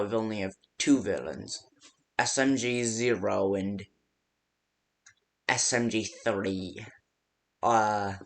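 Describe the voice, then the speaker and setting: A man playing a nervous, hesitant coworker who leaves stammered security tips by phone in an amateur horror game. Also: lowish voice. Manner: hesitant, halting, stammering delivery. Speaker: voice actor in fan-made game.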